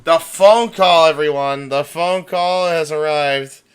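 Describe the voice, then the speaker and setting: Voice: medium pitch, fairly even intonation, slow, clear, resonant tone. A livestream co-host chatting casually, joking and swearing a little.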